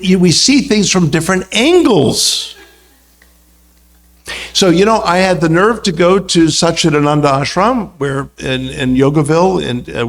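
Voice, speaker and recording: A man's voice: fairly high pitch, vocal fry. An American man speaking as a religious teacher, telling anecdotes in an informal, conversational talk full of asides. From United States. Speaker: guest speaker, religious teacher and university lecturer.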